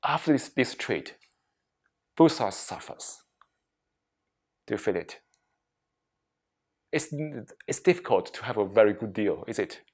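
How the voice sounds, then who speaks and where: Low-voiced man teaching mindfulness in short phrases. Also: lively intonation, darkish tone, slightly rough. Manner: deliberate, short phrases with frequent pauses; non-native English phrasing with grammatical slips. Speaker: meditation teacher giving a workplace session. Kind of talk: slow, reflective mindfulness talk to a group.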